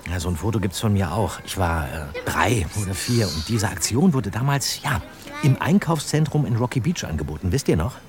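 A man's voice: on the low side, animated, fairly quick, close to an everyday voice. A man playing a chatty, teasing young detective in an audio drama, playfully stretching words for emphasis. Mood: amused. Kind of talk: German audio drama dialogue. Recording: music behind the voice.

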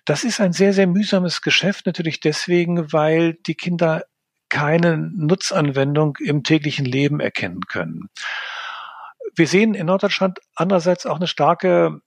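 A man answering interview questions as an expert, speaking near-standard German in a measured way with frequent hesitations. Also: a little high, creaky, dry recording. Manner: measured, hesitant with many fillers. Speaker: linguist guest.

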